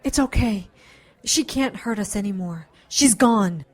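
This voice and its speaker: A slow-spoken, protective big sister. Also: female voice, mid-range voice, animated. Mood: relieved, soothing. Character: protective, defensive big sister, out of her depth. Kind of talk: audio drama acting.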